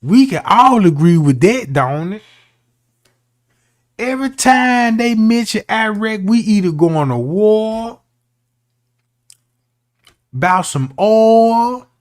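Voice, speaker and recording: A man's voice: high voice, expressive, slow, long pauses, dry recording, studio quality. A man giving casual commentary as a streamer, talking in a slangy, argumentative way.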